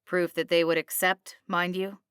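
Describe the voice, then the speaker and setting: A woman's voice: fairly low pitch, level tone, normal speed, a bit bright, smooth, no echo, studio quality, natural voice. A woman voicing a thin, authoritative male military captain in a storybook, sceptical, clipped and sardonic. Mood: dry, sceptical.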